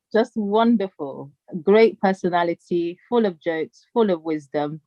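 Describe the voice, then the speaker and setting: A woman's voice: lowish voice, takes it slowly, no room sound, highs cut off. A woman hosting a live radio interview, effusive and playful, heaping praise on her guest. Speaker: radio show host.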